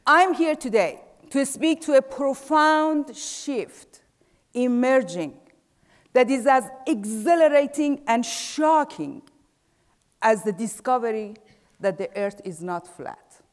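Middle-aged Persian-accented woman, high voice, slow and measured stage delivery, reverberant hall. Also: expressive. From Iran. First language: Farsi. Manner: measured, trailing off softly at phrase ends. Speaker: speaker. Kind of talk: prepared stage talk. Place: large dark theater stage. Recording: theater with long reverb.

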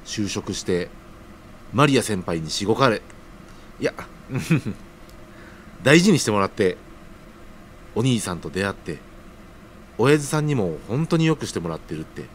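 A man's voice: on the low side, big pitch swings, measured pace, long pauses, a little hiss or hum. A man acting an earnest, humble young junior worker in an audio drama, speaking casually but deferentially. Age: young adult.

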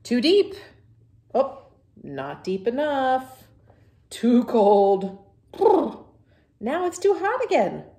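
Woman voicing a stubborn, dramatic cartoon pigeon, swooping pitch. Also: big pitch swings, extremely slow, long pauses, warm tone, reverberant, exaggerated voice. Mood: fussy, complaining. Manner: emphatic, exclaiming, protesting. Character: dirty, stubborn, dramatic cartoon pigeon who argues like a child.

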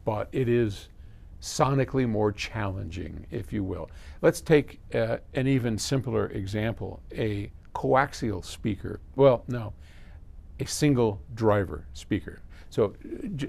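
Older male, 70s, American. Lowish, fry-laden voice; conversational explainer with informal asides. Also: a little mellow. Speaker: audio company presenter answering viewer questions. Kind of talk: casual explainer to camera. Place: small indoor electronics workshop.

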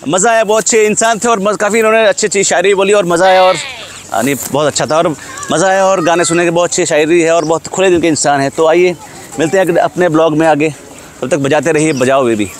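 A young man with a Punjabi accent, vlogging outdoors by a lake, cheering and teasing a stranger in excited banter. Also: fairly high pitch, quick pace. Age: young adult. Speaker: vlogger prankster. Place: outdoors on a lakeside promenade. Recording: faint outdoor noise.

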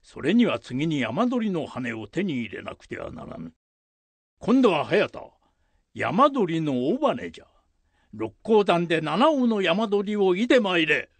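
A man acts a commanding samurai lord in a period radio drama. The voice is gravelly and a little high for a man, with slow, deliberate archaic phrasing. The delivery is authoritative and resolute, with wide swings of pitch. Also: sing-song, dry recording, heavily acted. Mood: pleased, commanding.

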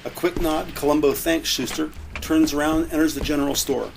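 A man reading scripted audio drama narration in a measured, descriptive way. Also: a little high, fairly even intonation, gravelly, a crowd in the background, rough recording.

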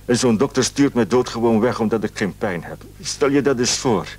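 A man's voice: mid-range voice, expressive, moderate pace, darkish tone, husky. An actor playing an anxious, ailing husband in a radio drama, stammering and hesitant as he frets. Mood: distressed. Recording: noisy old radio play recording.

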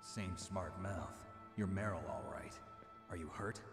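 An actor playing a jaded, stoic, middle-aged mercenary in a video game, gruff, terse and deadpan, with an American accent. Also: male voice, low voice, measured pace. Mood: dry, terse. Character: jaded, stoic male mercenary soldier, hard-bitten loner. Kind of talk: dramatic game cutscene dialogue. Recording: echoey reverberant game audio, music underneath.

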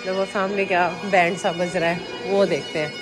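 A woman's voice: lowish voice, fairly even intonation, normal speed, music in the background. A young Indian woman filming herself on a phone at arm's length, chatting to viewers as she walks through large museum halls. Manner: chatty, addresses viewers directly. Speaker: travel vlogger. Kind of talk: casual walking tour vlog. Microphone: handheld phone at arm's length. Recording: noisy phone recording in a busy museum.

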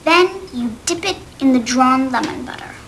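A woman playing a patient mother in a sitcom, calmly demonstrating at the dinner table. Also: sits high, moderate pace, slight background noise. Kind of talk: sitcom acting.

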